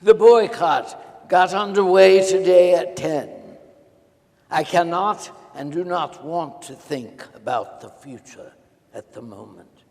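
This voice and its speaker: Slow, creaky actor as weary male teacher. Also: sits high, darkish tone, exaggerated voice. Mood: humiliated, weary. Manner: measured, weighty pauses. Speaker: stage reader in a dramatic reading. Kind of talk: theatrical diary monologue. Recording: echoey hall with long reverb.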